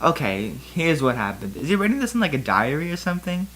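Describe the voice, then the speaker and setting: A giggly reader performs fan fiction with comic drama. The delivery swings widely in pitch at a low-to-middling voice height, with chatty asides and laughter breaking in. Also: big pitch swings, quick pace, a bit bright. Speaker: host reading fan fiction aloud. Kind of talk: comedic dramatic reading with asides.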